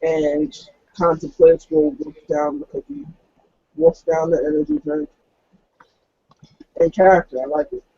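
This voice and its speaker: A man's voice: extremely high pitch, very animated, unhurried, creaky, pauses often, dark tone, dull audio. A man playing a tabletop roleplaying game, casually narrating what his character does.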